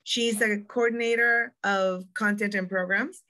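A young woman with a Puerto Rican accent walks listeners through a museum tour. Her voice is bright and forward, at an ordinary middle pitch. She talks in a chatty, easygoing way, full of ums and quick restarts. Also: fairly even intonation. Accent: Puerto Rican Spanish. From Puerto Rico. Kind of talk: presenting a virtual museum tour.

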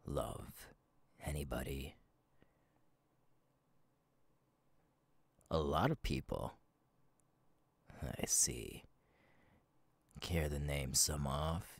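A man playing a menacing, taunting masked slasher killer in an ASMR roleplay, gravelly and partly whispered, speaking slowly and deliberately close to the mic. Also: a bass voice, no echo, heavily acted. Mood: probing, sinister. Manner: slow, deliberate, taunting, drawn-out pauses. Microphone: close microphone.

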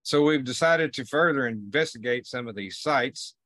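A middle-aged man talking to camera as a vlog host, in a halting, plain-spoken way. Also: medium pitch, lively intonation, a bit bright. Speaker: video series host and explorer.

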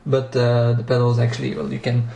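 A man's voice: medium pitch, completely flat delivery, fairly quick, gravelly, noisy background. Hesitant Dutch man in an echoey room. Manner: hesitant, many fillers and restarts. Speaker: home cockpit builder and vlogger. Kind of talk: casual walkthrough video. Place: small home cockpit room. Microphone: action camera.

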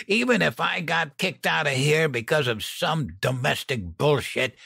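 A male reader acts out a mean, drunken smoker in an audiobook. His voice is raspy and gravelly, with coarse slang snarled out in a bullying, sneering way. The sound is close and dry. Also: medium pitch, pushed and bright, no echo, pitched above the performer's own voice, exaggerated voice. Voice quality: raspy, croaking. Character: violent, sneering, drunken smoker, man with criminal past.

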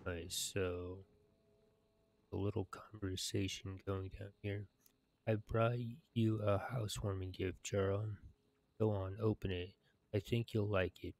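A man in his thirties narrating a game, reading quest text aloud in a measured, deliberate way. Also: low voice, little pitch movement, a little mellow, no room sound. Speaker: gaming video narrator. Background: video game music.

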